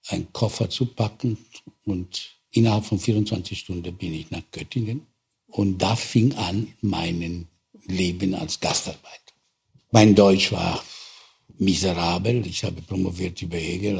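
An older man from Italy with an Italian accent, recalling his past in halting, searching speech with many restarts. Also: sits low, gravelly, slightly rough. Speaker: interviewee. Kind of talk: personal recollection.

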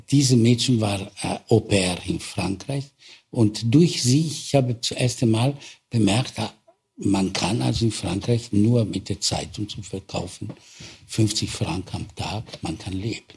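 An older man from Italy with an Italian accent, recalling his past in halting, searching speech with many restarts. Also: on the low side, gravelly, a little mellow, slightly rough. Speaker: interviewee. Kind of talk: personal recollection.

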